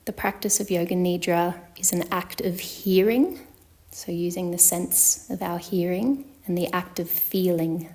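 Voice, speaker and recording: A woman leading a guided yoga nidra meditation as a teacher, speaking in a calm, measured, instructional manner with long pauses. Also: lowish voice.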